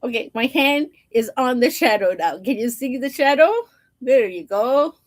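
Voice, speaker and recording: A woman's voice: on the high side, lively intonation, normal speed. A woman storyteller reading a children's picture book aloud, with informal, chatty asides to her listeners.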